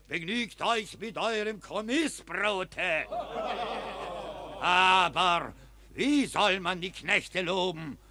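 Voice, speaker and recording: An actor playing a fiery Capuchin friar who thunders rhymed sermons at a crowd of soldiers in a radio play. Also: male voice, high voice, bright, forceful tone, exaggerated voice. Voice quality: rasping, thundering rhymed preaching. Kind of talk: stage verse radio play.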